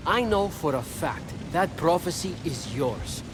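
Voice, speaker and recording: High, animated, brash god. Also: male voice, unhurried. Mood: goading. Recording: clear music behind the voice.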